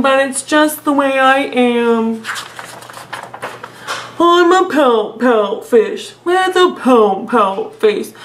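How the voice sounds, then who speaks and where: Woman putting on a slow, glum storybook fish. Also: high-pitched, fairly bright tone, slight background noise, exaggerated voice. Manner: slow, drawn-out, mournful delivery. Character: glum, gloomy, mopey male pouting fish. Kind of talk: children's picture-book character voice.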